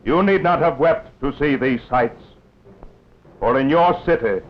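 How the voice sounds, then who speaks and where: A man's voice: medium pitch, unhurried. A man narrating a 1940s wartime newsreel in a grave, oratorical, scripted style. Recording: dull lo-fi 1940s film soundtrack with music.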